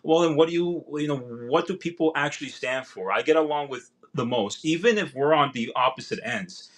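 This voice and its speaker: A young man speaking earnestly on a video call from a room at home, talking loudly and rambling, restarting his sentences often. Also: a little high, brisk. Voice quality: loud voice. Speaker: political candidate guest. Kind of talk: casual livestream interview. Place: home room with vinyl records.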